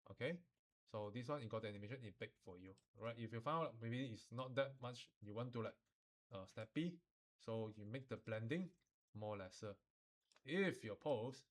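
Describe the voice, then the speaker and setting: A man with a Malaysian or Singaporean accent gives an informal, step-by-step software tutorial, instructing in colloquial phrasing with sentence-final particles. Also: fairly low pitch.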